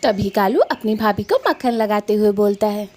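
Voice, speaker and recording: Female cartoon story narrator, medium pitch, slightly noisy recording. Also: moderate pace, darkish tone.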